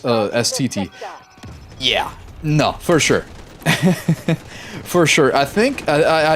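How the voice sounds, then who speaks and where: A young man live-streaming a shooter game, chatting casually with his viewers and reacting quickly during fights. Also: mid-range voice, slight background noise. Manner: easygoing, chatty, reads chat aloud, quick reactions mid-fight. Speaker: gaming streamer. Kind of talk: casual live-stream chat while playing.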